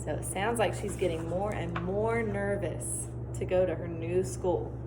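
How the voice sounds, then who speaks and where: A woman teacher doing an expressive storybook read-aloud for children, pausing to explain and ask questions. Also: lowish voice. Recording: clear background noise.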